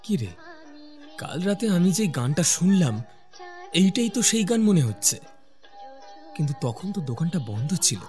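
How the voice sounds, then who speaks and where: A man's voice: sits high, big pitch swings, moderate pace, long pauses. A man playing a curious, puzzled young office worker new to a village, musing and questioning in a horror cartoon. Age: young adult. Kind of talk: horror cartoon dialogue and inner monologue. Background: faint music.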